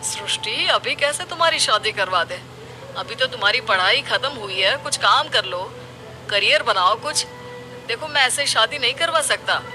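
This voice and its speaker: A woman voicing a sober, practical middle-aged father in a moral story cartoon, measured and admonishing. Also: a little high, lively intonation, music in the background, natural voice, rough recording. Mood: firm. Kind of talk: animated moral story dialogue.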